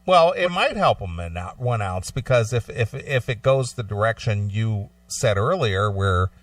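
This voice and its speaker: Low-voiced male radio co-host. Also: lively intonation, measured pace, no room sound, far from the mic. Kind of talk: reading news aloud and chatting about it.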